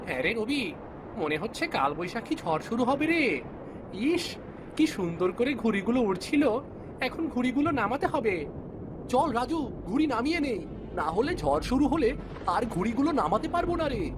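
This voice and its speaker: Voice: mid-range voice, big pitch swings, a little fast, long pauses, background noise, close to an everyday voice. A performer voicing sensible village boys in a cartoon who warn their friend in a friendly, chatty way. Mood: cautious. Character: sensible village boys, cautious kite flyers. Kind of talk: cartoon voice acting.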